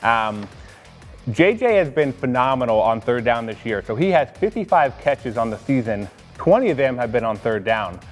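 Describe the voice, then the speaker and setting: A man's voice: medium pitch, sing-song, normal speed, big-room sound, soft background music. An American man talking casually as a sports analyst on a team show.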